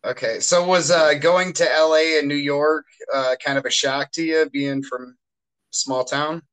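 A man's voice: on the high side, steady tone, unhurried, a bit bright, smooth, rough recording. A man hosting a podcast, asking short, casual questions in an easygoing way. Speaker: podcast host. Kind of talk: casual interview chat.

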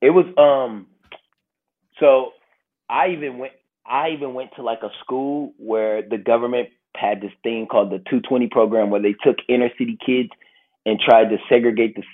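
An American man tells stories in a loose, conversational way, slipping into slangy little quoted exclamations. His voice is husky and not very clean, and it comes through a narrow, phone-like call. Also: medium pitch, fairly even intonation, normal speed. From Milwaukee, United States. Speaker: podcast guest, travel series host. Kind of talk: casual conversational storytelling. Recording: thin phone-like call audio.

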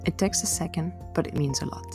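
A woman reading her podcast's scripted welcome and intro in a measured way. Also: sits low, gravelly. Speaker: podcast host. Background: soft guitar music.

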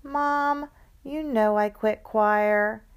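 A woman's voice: fairly high pitch, drawn-out words, clear, resonant tone, voice pushed up. A woman doing a fake-sweet, whiny teen sister.

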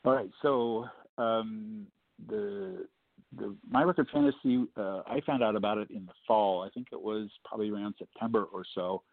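Male guest with a deep baritone voice, loose and chatty, heard over a thin phone line. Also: normal speed, gravelly, dry recording. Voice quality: low baritone voice. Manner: rambling, joking, many fillers. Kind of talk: casual storytelling on a call-in show.

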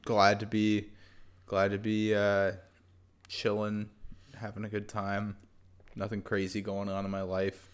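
Low-voiced young man, relaxed podcast chat. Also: smooth. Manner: relaxed, rambling, halting restarts. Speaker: podcast co-host. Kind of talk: casual chat.

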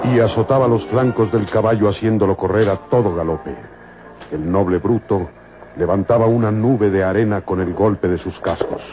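A man's voice: lowish voice, moderate pace. A man narrating a radio adventure serial in a solemn, dramatic, literary storytelling style. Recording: muffled old radio serial recording. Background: loud dramatic music.

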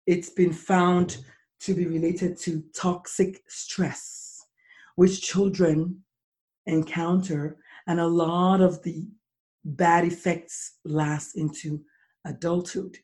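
A middle-aged woman hosting a podcast, with a deep, husky voice from swollen vocal cords, talking slowly and deliberately when she explains. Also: dark tone. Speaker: podcast host. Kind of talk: casual podcast interview.

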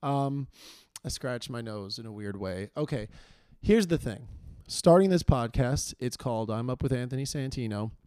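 A hoarse man hosts his podcast, rambling and self-deprecating with lots of fillers. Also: medium pitch, expressive, normal speed, warm tone, dry recording, studio quality. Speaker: podcast host. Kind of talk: casual solo intro monologue.

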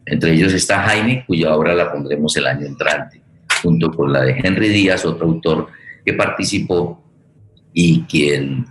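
Deep-voiced Colombian man hosting, echoey room. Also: slight background noise. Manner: halting, searching for words. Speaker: host, theatre director and playwright. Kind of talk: conversational, rambling forum hosting.

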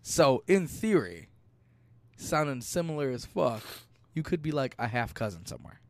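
A man's voice: medium pitch, big pitch swings, moderate pace, dry recording. A man co-hosting a podcast, teasing his friends with crude banter in casual chat. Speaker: podcast co-host.

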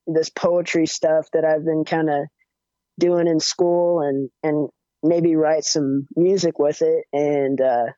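A young man with a higher-pitched voice, chatting casually as a musician guest with lots of fillers and restarts. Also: clear, resonant tone, no echo. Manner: relaxed, rambling, many fillers and restarts. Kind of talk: casual interview chat. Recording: dull, muffled audio.

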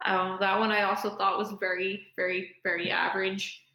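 A woman co-hosting a podcast, chatting casually in a relaxed, easygoing way with hedged opinions. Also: mid-range voice, normal speed. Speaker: podcast co-host. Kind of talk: casual chat. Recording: echoey room.

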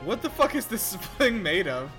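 A man's voice: high voice, sing-song, moderate pace, over music. A man co-hosting a reaction show, giving casual, sarcastic commentary on a film.

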